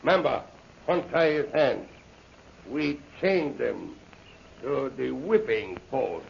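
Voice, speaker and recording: A man's voice: medium pitch, lively intonation, slow pace, vocal fry, exaggerated voice. An actor playing a boastful, brutish henchman with a French accent and swaggering broken English in an old radio serial. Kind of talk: 1930s radio serial acting. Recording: noisy, muffled old radio recording.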